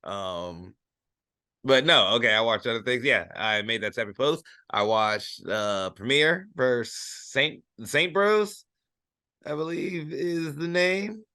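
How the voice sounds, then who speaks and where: Slow-talking American man with a bright voice. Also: mid-range voice, no room sound, clean studio sound. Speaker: podcast co-host and wrestler. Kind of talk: casual chat.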